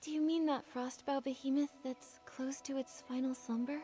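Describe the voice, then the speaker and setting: A woman's voice: high voice, steady tone, normal speed, warm tone, soft background music, close to an everyday voice. A woman playing a kind, curious young heroine in a video game, with an earnest, polite way of speaking. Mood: curious, sympathetic. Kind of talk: scripted video game voice acting.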